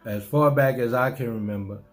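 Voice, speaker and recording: A middle-aged man from New Orleans with a New Orleans accent, telling his life story in an unhurried, musing way, often repeating himself and restarting. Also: mid-range voice, soft background music. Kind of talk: reflective personal storytelling.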